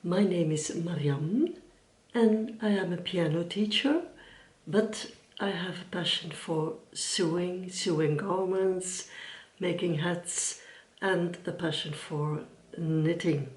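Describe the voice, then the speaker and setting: Middle-aged woman, Flemish accent, Dutch native speaker, unhurried and careful, echoey room heard from a distance. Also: lowish voice, a little mellow. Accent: Dutch-speaking Belgian (Flemish). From Belgium. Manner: careful, unhurried, with frequent ums and restarts. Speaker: YouTube sewing and knitting host. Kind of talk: casual vlog talk to camera. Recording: roomy echo from some distance.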